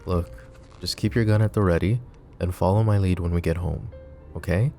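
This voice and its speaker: A man voicing a flirty, possessive and protective mafia boss in an ASMR roleplay, teasing and soft-spoken. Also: sits low, steady tone, normal speed, faint music behind. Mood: calm scheming.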